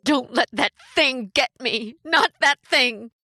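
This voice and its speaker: A woman's voice: sits high, slow pace, bright, forceful tone, dry recording, studio quality, heavily acted. An actress playing a terrified, exhausted young woman pleading as she flees a monster, in melodramatic old-time radio horror style. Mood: desperate terror.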